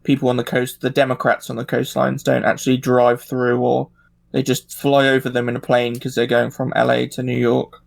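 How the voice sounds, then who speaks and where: A man hosts a casual discussion in a mid-pitched voice with plenty of vocal fry. He talks haltingly, feeling his way through each thought. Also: completely flat delivery. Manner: halting, thinking aloud.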